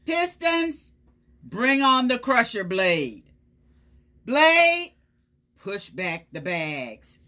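A woman voicing a boastful, loud garbage truck from a children's storybook, brash and proud. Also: a little high, very animated, drawn-out words, long pauses, voice pushed up, exaggerated voice. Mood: bossy. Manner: brash, boastful, shouting orders.

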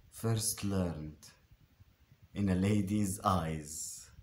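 A man reciting Shakespearean verse theatrically, declamatory and deliberate, with dramatic pauses and emphatic swells. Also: fairly low pitch, darkish tone. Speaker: actor reciting a Shakespeare monologue. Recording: roomy, echoing space.

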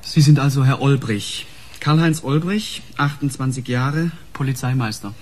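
A man's voice: mid-range voice, normal speed, lightly acted. A man playing a formal, persistent police questioner in a radio crime drama, matter-of-fact and insistent.

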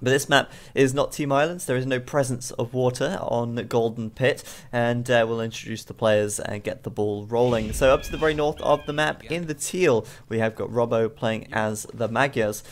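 A man's voice: medium pitch, moderate pace, few pauses. Tired, stuffy-nosed male game caster. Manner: rambling, filler-heavy commentary. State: bunged up with a cold; very tired, late at night. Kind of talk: casting a strategy game match.

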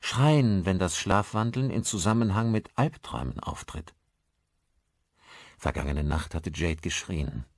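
A man's voice: low-pitched, animated, a little slow, no room sound. A man narrating a suspense novel as a scripted audiobook.

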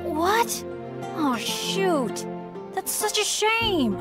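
A woman voicing an unlucky, self-effacing teenage boy adventurer in a video game, earnest and hesitant, quick to get excited. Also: very high voice, full character voice. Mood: dismayed. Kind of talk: English video game character dialogue. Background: game music.

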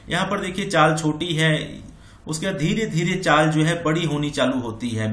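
A man's voice: on the high side, bright, forceful tone, reverberant. A man giving an informal tutorial as a trading instructor, explaining repetitively and mixing in English terms. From India. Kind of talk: informal screen-share tutorial on chart patterns.

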